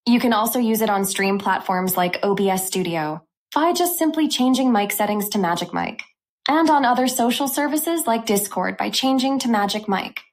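A woman host narrating a scripted software review for a tech channel. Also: fairly high pitch, animated, normal speed.

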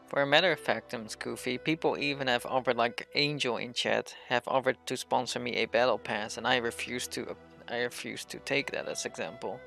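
A man's voice: medium pitch, steady tone, brisk, vocal fry, hardly any pauses, sharp tone, soft background music. A young man streaming a game, chatting casually with viewers and commenting on his play. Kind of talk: casual live stream chat and gameplay commentary.

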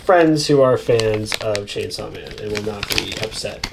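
A man's voice: fairly low pitch, measured pace. Casual man chatting over noisy crinkling. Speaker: unboxing video host. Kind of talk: casual chatty unboxing commentary. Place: indoors at a tabletop. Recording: clear background noise, crinkling packaging. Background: crinkling plastic bags, clicks.

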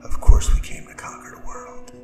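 A man playing a proud, vengeful and seductive demon king in an ASMR audio drama, speaking in a breathy, gravelly half-whisper with slow, grandiose delivery. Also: natural voice. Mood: proud, persuasive. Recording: roomy reverb, distant, music behind. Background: music.

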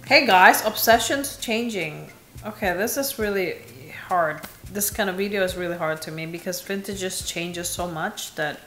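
Young woman, from Indonesia, unhurried candid chat, roomy echo, faint music behind. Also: fairly low pitch, fairly bright tone. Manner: chatty, candid, laughs at herself. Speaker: beauty vlogger. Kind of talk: casual perfume review. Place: small bright room with window blinds.

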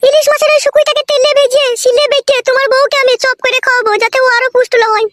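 Sharp-tongued village wife, comic cartoon, rural West Bengal accent, extremely shrill pitch, hurried chatter, bossy fussing. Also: female voice, fairly even intonation, no room sound. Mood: scolding.

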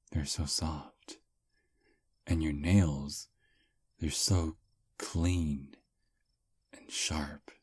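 A man playing a charming male vampire on a first date, soft, relaxed and self-deprecating, close to the mic in ASMR roleplay. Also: very deep voice, husky, no room sound, heavily acted.

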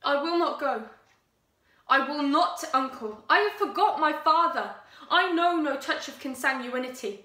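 A woman's voice: high-pitched, expressive, unhurried, bright, forceful tone, voice pushed up, exaggerated voice. A young woman playing a lovestruck young woman, declaiming impassioned Shakespearean verse in an audition. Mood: passionate. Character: young woman vowing loyal love. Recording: echoey room.

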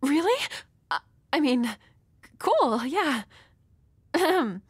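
A woman's voice: high voice, sing-song, slow pace, no room sound, clean studio sound, heavily acted. A woman playing a nervous, dorky young woman with a crush in an intimate roleplay audio, hesitant, stammering and apologetic. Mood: shy, flustered.

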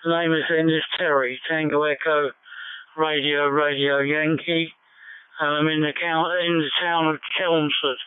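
A man's voice: a little high, level tone, measured pace, smooth, dry recording. A man with an English accent chatting in a plain, matter-of-fact way over digital amateur radio. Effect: digital amateur radio codec. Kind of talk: casual two-way radio chat. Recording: phone-like digital radio transmission.

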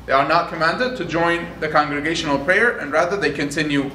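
A man in his thirties interpreting a scholar's answer into English, slow and deliberate, rephrasing with restarts and fillers. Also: fairly high pitch, bright, forceful tone. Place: room seated at a desk. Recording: echoey room, mic at a distance, faint noise.

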